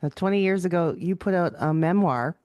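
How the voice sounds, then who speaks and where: A woman's voice: on the low side, brisk, darkish tone, dry recording. A woman hosting a casual interview, speaking in an encouraging, complimentary way. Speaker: podcast host.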